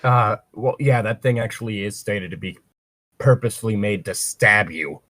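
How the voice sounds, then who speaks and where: A man's voice: lowish voice, moderate pace, fairly bright tone, no echo, studio quality. A man reading fan fiction aloud casually and breaking in with sarcastic, crude wisecracks. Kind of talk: casual read-aloud with joking commentary.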